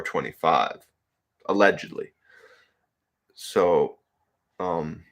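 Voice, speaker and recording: A man's voice: sits low, a bit bright. A man in his early twenties chatting casually on a livestream, reading comments aloud and rambling with many fillers and frequent laughs. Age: 20s. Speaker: livestream host reading chat. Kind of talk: casual solo livestream chat.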